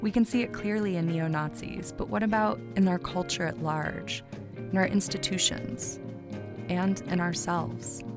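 Female podcast host, scripted narration, lowish register with gravelly fry, music bed underneath. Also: steady tone, measured pace.

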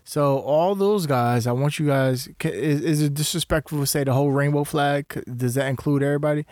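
A man's voice: fairly high pitch, a little fast, a little mellow, no room sound, studio quality. Blunt profane podcast co-host man. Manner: blunt, profane, interrupts often. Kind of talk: casual chat.

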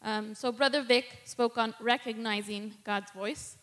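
A woman's voice: a little high, steady tone, unhurried, fairly bright tone. A middle-aged woman giving a candid personal testimony sermon from the pulpit, nervous and laughing between thoughts. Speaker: guest preacher.

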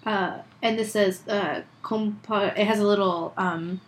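A woman's voice: mid-range voice, steady tone, unhurried. Dry, teasing woman co-host. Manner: dry, teasing, hesitant with fillers. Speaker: podcast co-host. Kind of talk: casual chat.

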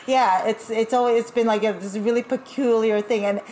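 A woman from Taiwan who grew up speaking Taiwanese, talking as a guest in a casual interview, animated and chatty with laughing asides. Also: on the high side, normal speed, a little hiss or hum. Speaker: guest, burlesque performer.

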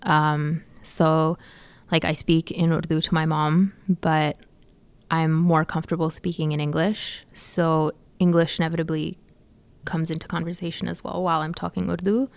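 Young woman filmmaker, low and gravelly, hesitant interviewee. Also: little pitch movement, moderate pace, no echo. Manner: soft-spoken, hesitant with many fillers. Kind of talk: casual interview answers.

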